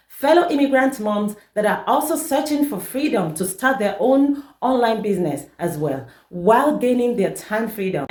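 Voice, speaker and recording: A woman's voice: a little high, a little mellow, echoey room. A woman from Nigeria, an online business mentor, hosting a promotional video in an upbeat, persuasive way. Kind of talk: promotional testimonial address.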